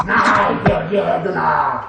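Echoey, high-voiced theatrical prankster. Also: male voice, very slow, vocal fry, a loud crowd, full character voice. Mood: menacing, mocking. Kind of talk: Polish radio play acting. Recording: very echoey, distant, faintly noisy.

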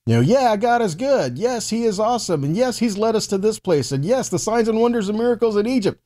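A man's voice: high-pitched, lively intonation, brisk, mellow. A man in his forties giving a conversational, emphatic Bible teaching, reading verses and posing rhetorical questions. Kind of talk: teaching sermon to camera with scripture readings.

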